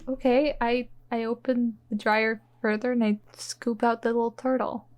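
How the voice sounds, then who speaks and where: A woman at a tabletop game describes her character's actions in a loose, easygoing way. Her voice is a little higher than most. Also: level tone, normal speed, a little mellow. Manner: easygoing, chatty, laughs often. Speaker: player. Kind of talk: casual chat in a tabletop game.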